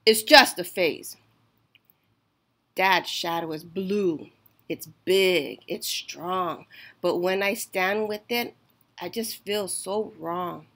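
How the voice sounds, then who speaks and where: Slow, bright-voiced woman reading a storybook. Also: medium pitch. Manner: slow, deliberate, emphatic storytelling. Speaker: storytime reader. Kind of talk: expressive read-aloud of a rhyming children's picture book.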